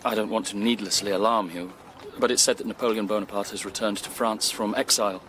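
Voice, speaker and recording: A man's voice: on the low side, steady tone, quick pace, fairly bright tone, slight background noise, close to an everyday voice. A man playing an earnest, courteous young English captain in a period radio drama, speaking politely and carefully. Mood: anxious, courteous.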